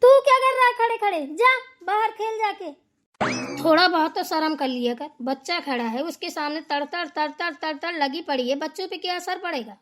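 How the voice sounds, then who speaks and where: A woman's voice: very high voice, moderate pace, a bit bright, soft background music. A woman voicing a domineering older mother-in-law in a family cartoon, scolding and nagging with sarcastic questions. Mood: stern, threatening. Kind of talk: amateur Hindi family cartoon dialogue.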